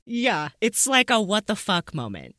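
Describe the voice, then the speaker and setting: A woman's voice: mid-range voice, huge pitch swings, a little slow, bright, forceful tone, dry recording, studio quality. A woman hosting a podcast in a casual, chatty, amused and informal way. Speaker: podcast host.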